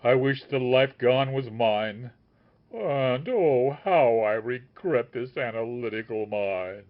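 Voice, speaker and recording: A man voicing an obsessive male seeker of truth who shouts a scornful tirade, on a dull lo-fi recording. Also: mid-range voice, expressive, slow pace. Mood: anguished.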